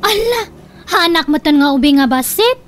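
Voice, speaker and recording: A woman's voice: high-pitched, lively intonation, normal speed. A woman playing a lovestruck young daughter in a comedy radio drama, plaintive and coaxing, defiant with her mother. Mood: pleading, lovestruck. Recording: faint background noise.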